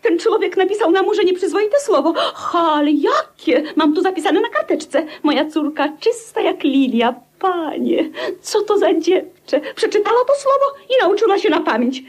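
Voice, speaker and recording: A melodramatic widowed matron in a vintage grotesque radio play. Her voice is extremely high and leaps up and down as she declaims with great theatrical fuss. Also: animated, normal speed. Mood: outraged, wailing. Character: histrionic, indignant widowed mother, middle-aged matron. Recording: 1957 radio play recording.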